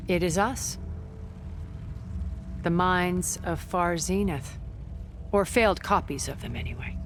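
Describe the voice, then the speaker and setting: A woman playing a cool, world-weary immortal colonist in a video game, explaining in a measured, dry, condescending way. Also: lowish voice, lively intonation, slight background noise. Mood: cool, confessional. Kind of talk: video game cutscene acting. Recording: background music.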